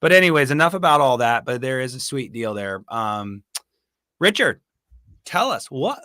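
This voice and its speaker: A man hosting a podcast, his voice hoarse and raspy from a cold, chatting loosely and joking with his guest. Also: mid-range voice, very animated, normal speed, sharp tone, no echo, clean studio sound. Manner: laid-back, joking, says dude a lot. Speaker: podcast host. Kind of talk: casual interview chat.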